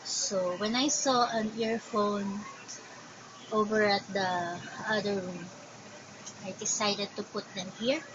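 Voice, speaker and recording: Filipino woman, crafting vlogger, unhurried and hesitant, dull home recording with steady hiss. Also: medium pitch. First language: Tagalog. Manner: slow, hesitant, thinking aloud. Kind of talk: casual show-and-tell. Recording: dull lo-fi sound with background noise.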